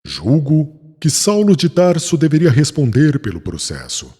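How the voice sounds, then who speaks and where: A man's voice: fairly high pitch, wildly swooping pitch, unhurried, warm tone, breathy edge, heavily acted. A man voicing a remorseful young former persecutor in an audiobook, speaking solemnly and formally. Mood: judging. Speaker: voice actor in an audiobook.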